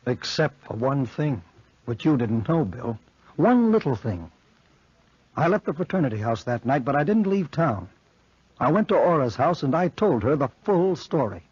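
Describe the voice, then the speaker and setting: A troubled young student speaks with earnest, strained feeling. The voice is mid-range and husky, delivered as 1940s radio melodrama on a muffled vintage recording. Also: male voice, medium pitch, very animated, normal speed, darkish tone, no room sound. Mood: bitter resolve. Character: earnest, anxious young college man, bitter and lovesick. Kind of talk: 1940s radio horror acting.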